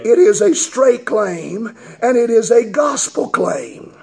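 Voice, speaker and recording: A man preaching a fiery revival sermon in a church, a strong voice and a chanting, shouting cadence, as the congregation answers him. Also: very high voice, lively intonation, slow. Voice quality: strong, gravelly voice. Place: church sanctuary with congregation responding.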